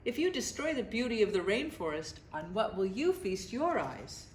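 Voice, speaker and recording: A woman voicing a slow, lazy female sloth from a storybook, with a drawn-out, plodding delivery. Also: mid-range voice, big pitch swings, reverberant, slight background noise, natural voice. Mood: languid, questioning.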